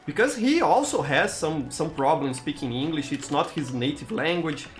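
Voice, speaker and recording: Lively Brazilian-accented man streaming games. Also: fairly high pitch, music in the background. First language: Portuguese. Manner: halting, often repeats words while searching for them. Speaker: game streamer. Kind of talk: casual gameplay commentary, answering chat.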